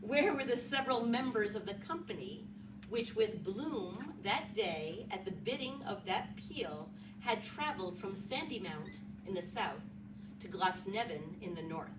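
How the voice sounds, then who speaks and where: Lively-pitched woman reading in echoey room. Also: a little slow. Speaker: literary reader. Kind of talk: dramatic reading of posed questions. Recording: roomy echo, distant mic, clear noise.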